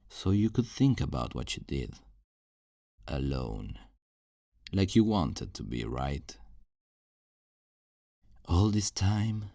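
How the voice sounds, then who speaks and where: A man's voice: extremely low pitch, vocal fry, darkish tone, dry recording, studio quality, exaggerated voice. A man playing a possessive, self-righteous kidnapper in an ASMR roleplay, speaking in a condescending, coaxing, menacingly tender way. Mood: possessive menace. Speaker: audio roleplay performer.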